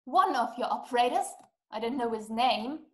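High voice, distraught sickly wife played by a young woman, imperious, impatient, dramatic formal diction, stage play. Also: expressive, normal speed, fairly bright tone. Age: young adult. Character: distraught, imperious, self-pitying invalid wife, desperate and impatient. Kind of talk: theatrical stage-play acting over video call. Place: small dim room at home. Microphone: webcam video call.

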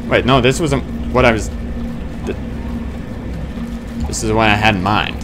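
A man's voice: fairly low pitch, a little slow, background noise. A man in his twenties giving casual gameplay commentary, muttering to himself and exclaiming in bursts. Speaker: let's play host. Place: small dim room at home.

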